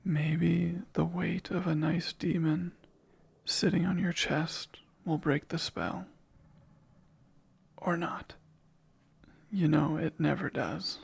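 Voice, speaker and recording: A man voicing a possessive male sleep paralysis demon in an ASMR roleplay, whispering slowly and teasingly in a gravelly, breathy voice. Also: fairly high pitch, fairly even intonation, full character voice. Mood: taunting. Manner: hushed close whispering, slow and teasing.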